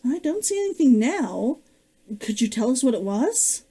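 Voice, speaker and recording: A woman's voice: high-pitched, very animated, moderate pace, warm tone, breathy edge, full character voice. A woman reading as a practical young man. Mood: steady.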